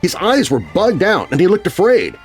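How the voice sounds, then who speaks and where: A man's voice: high-pitched, wildly swooping pitch, moderate pace. A man narrating a scripted children's horror story. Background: music.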